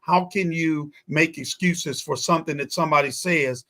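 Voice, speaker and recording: Guy on a political talk show, voice a bit high, emphatic, repeats stuff to drive it home. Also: moderate pace, sharp tone. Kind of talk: political discussion, conversational.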